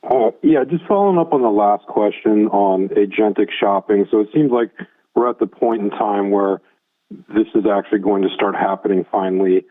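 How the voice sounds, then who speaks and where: A man's voice: on the low side, moderate pace. A man asking a conversational question as a financial analyst on an earnings call, over a phone line. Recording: thin narrowband phone line.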